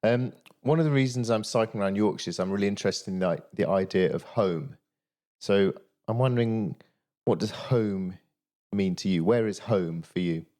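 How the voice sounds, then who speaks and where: A man hosting a podcast interview, asking questions in a measured, thoughtful way. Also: lowish voice, dark tone.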